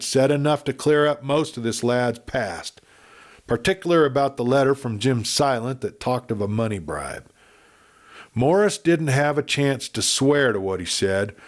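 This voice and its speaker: A man's voice: mid-range voice, animated, a little slow, lightly acted. Gray-haired western cowpuncher, acted by a male reader: plain-spoken, folksy, firm, declaring to a crowd. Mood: emphatic, decisive. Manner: folksy, declarative frontier speech. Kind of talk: western storybook character speech.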